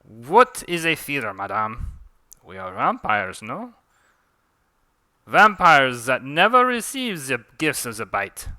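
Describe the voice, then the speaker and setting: Webcomic-style French vampire duelist, played by a man, grandiose and taunting, bright forceful delivery, dry close sound. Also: medium pitch, sing-song, slow, no room sound, pitched above the performer's own voice, heavily acted. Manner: grandiose, mockingly courteous.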